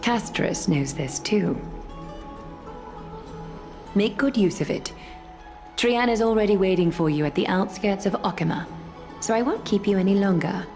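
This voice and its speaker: An actress playing a composed, regal noblewoman leader in a video game, speaking formally and in a measured, gracious way. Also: mid-range voice, darkish tone, soft background music, natural voice. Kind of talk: scripted video game dialogue.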